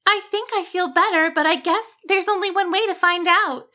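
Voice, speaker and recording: A woman voicing a small, sweet and cheerful female sheep in a children's story, bright and earnest. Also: extremely high pitch, moderate pace, voice pushed up. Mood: cheerful, grateful.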